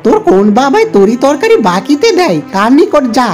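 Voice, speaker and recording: A woman's voice: high voice, sing-song, a little fast, a little mellow, faint music behind. A woman voicing a stingy, grumpy monkey uncle in a cartoon, scolding and dismissive. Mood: scornful.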